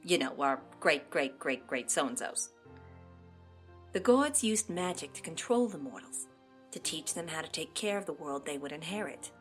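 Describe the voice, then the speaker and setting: A woman's voice: low-pitched, animated, moderate pace. Woman voicing cartoon opossum mother, earnest dramatic storyteller, casual playful asides, easily flustered, prominent background music. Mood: earnest, solemn. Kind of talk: animated fandub, bedtime-story narration. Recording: loud music behind the voice.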